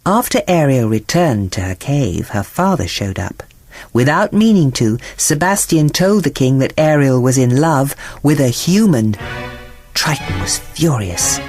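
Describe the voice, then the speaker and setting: Female narrator for a children's bedtime tale: unhurried, very lively intonation, music underneath. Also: a bass voice. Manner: dramatic, measured, acts out characters. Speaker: storybook narrator. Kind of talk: expressive scripted bedtime story narration.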